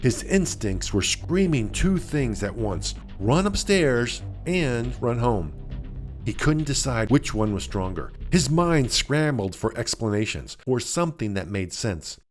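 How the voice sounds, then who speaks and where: A man narrates a suspenseful tale in a lively, varied delivery. He pauses deliberately for drama, and music plays softly underneath. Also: mid-range voice. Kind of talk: scripted suspense story narration.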